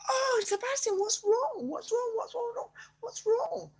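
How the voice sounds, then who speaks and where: A woman's voice: very high voice, slow pace, voice pushed up, heavily acted. A woman acting out a tender, earnest storybook character who coos and coaxes a shy creature, repeating herself. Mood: cooing concern. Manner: cooing, coaxing, repetitive.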